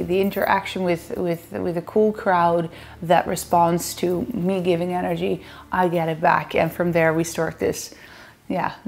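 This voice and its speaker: A woman's voice: lowish voice, vocal fry, darkish tone. A woman in her thirties with a Dutch accent, chatting playfully to camera from a sofa as she answers fan questions. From Netherlands. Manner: chatty, playful, laughs often. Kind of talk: casual chat to camera. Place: indoor living room, seated on a sofa.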